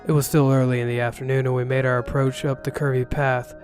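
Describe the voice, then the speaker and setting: A man narrates a first-person ronin tale with dry sarcasm. His voice is mid-pitched, and music plays behind the reading. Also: flat delivery, fast talker, darkish tone. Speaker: narrator reading an interactive novel. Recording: music bed under the voice.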